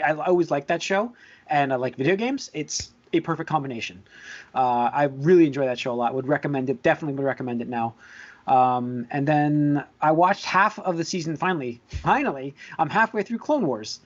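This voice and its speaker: Man, podcast host, slightly high voice. Halting, hedging, conversational delivery. Dull, lo-fi sound. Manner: halting, hedging, repeats words. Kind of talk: casual chat about movies and shows. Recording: dull lo-fi voice recording.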